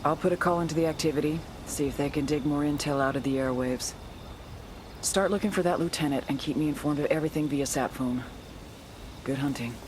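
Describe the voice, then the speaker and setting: A woman playing a brisk, authoritative American intelligence handler in a game cutscene. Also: deep voice, lightly acted. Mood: businesslike, firm. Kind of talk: dramatic game cutscene dialogue. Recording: clearly noisy background.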